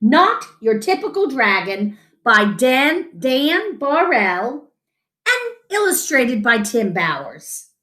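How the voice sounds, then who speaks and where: Animated, high-voiced woman storyteller. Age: middle-aged. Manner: animated, dramatic, with deliberate pauses. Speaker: children's storyteller. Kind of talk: expressive picture-book reading. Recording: roomy home echo.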